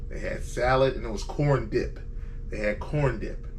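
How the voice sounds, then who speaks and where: A man hosting a casual podcast, telling a story in an animated, defensive, joking way. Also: mid-range voice, normal speed. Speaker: podcast host. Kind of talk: casual group chat, storytelling. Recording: distant mic, faint room noise.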